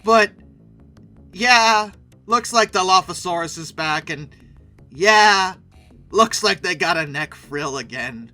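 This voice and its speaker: A man's voice: high-pitched, animated, slow pace, long pauses, pushed and bright, faint music behind. A man giving wry, sarcastic film commentary as the host of a video channel, leaning emphatically on words.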